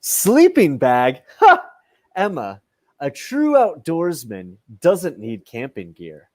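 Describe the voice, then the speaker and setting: Man playing a cocky, slow-talking outdoorsman. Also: fairly high pitch, huge pitch swings, no room sound, heavily acted. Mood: boastful. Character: cocky, boastful male outdoorsman superhero. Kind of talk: radio comedy acting over video call. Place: room at home, video call.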